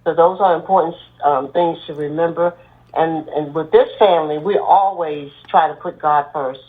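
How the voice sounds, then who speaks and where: Low-voiced woman on a phone line. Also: normal speed. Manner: earnest, advising, steady. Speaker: guest, mother and church deacon. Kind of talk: guest answer on a podcast.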